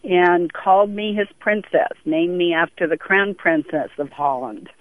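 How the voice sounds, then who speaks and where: A woman's voice: lowish voice, a little slow, no echo. A woman in her sixties from Europe talking earnestly and conversationally as a caller to a radio show over the telephone. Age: 60s. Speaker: phone-in caller. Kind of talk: earnest conversational phone call. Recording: very tinny, band-limited phone line.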